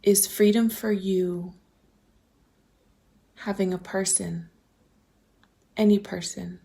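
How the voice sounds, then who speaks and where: A woman with a low, mellow voice leads a guided meditation. Her delivery is very slow and nearly level in pitch, soft and breathy to the edge of a whisper. She poses gentle, open questions and leaves space after them. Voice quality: soft, breathy, often slipping toward a whisper. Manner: gentle, deliberate, posing open questions with pauses. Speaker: guided visualization host.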